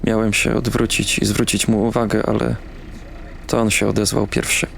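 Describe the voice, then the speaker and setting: Casting: brooding young man, audio drama lead, low deadpan delivery, vehicle noise behind. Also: moderate pace, creaky. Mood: bitter, brooding. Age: young adult. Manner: weary, terse, deadpan, swearing. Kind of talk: audio drama first-person narration and dialogue.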